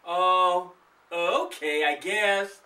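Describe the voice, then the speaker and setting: A man's voice: high-pitched, extremely slow, bright, forceful tone, recorded from a distance, pitched above the performer's own voice, heavily acted, low-quality recording. A man voicing a bossy, reluctant older sister, a kid in a storybook. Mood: resigned.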